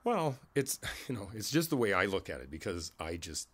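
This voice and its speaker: A man playing a kindly older neighbor in an ASMR roleplay, reflective and candid, talking in a halting, conversational way and repeating his phrases. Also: low voice, very animated, fairly bright tone, heavily acted. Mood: gently reflective.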